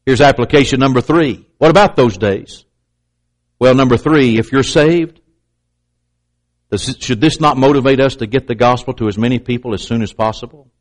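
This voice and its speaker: A man preaching a sermon with emphatic, repetitive pulpit delivery and pointed asides to the congregation. He has a slight stutter and sometimes catches on a word. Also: moderate pace. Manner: slight stutter, clamps up on words; acts out doubters in a raised pitch.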